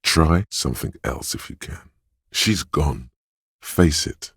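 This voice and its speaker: A man voicing a suave, wry inner voice of a detective in a video game, narrating in a measured, knowing way. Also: rumbling low, lively intonation, a bit bright, slightly rough, no echo, clean studio sound, full character voice. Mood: sly, urging. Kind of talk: second-person game narration.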